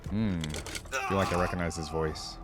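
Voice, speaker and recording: A man's voice: low-pitched, normal speed. A man hosting a reaction video, commenting casually and musing aloud in informal, slangy speech. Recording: faint music behind the voice.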